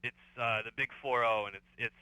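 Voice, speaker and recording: A man playing an earnest, defensive slacker man-child nearing forty, talking in halting, casual dude-speak for a comic video game radio bit. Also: medium pitch, steady tone, bright, forceful tone, clean tone, rough recording.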